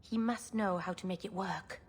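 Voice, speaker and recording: An actress playing a stubborn, anxious young elf woman in a video game, speaking earnestly and haltingly. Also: on the low side, steady tone, husky. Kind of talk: dramatic video game acting.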